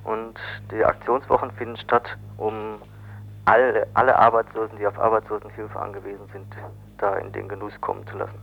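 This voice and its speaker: A man answering interview questions as an activist spokesman, explaining haltingly and repeating words as he goes. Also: lowish voice, monotone, vocal fry. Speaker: spokesman for an unemployed people's initiative. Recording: dull, faintly hissy old radio interview.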